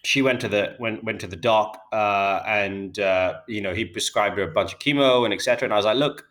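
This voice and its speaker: Brit guy, 30s, talks fast and kinda low, lots of "we, we're" restarts. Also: fairly bright tone. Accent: British. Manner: fast, with many repeated words and restarts. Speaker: healthcare data company founder. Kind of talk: interview answers.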